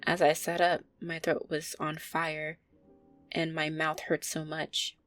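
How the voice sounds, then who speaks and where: A woman's voice: sits low, completely flat delivery, slow, soft background music. A woman playing an affectionate, easily flustered, centuries-old vampire girlfriend, talking intimately and hesitantly to her listener in an audio roleplay. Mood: earnest, reminiscing.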